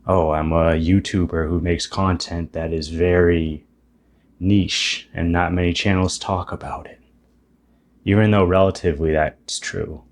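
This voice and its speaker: A man's voice: low-pitched, fairly even intonation, measured pace. A man in his thirties, a YouTuber talking through a reflective, self-deprecating monologue about spiritual ideas and often restarting his sentences. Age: 30s. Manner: musing, self-deprecating, thinking aloud with restarts.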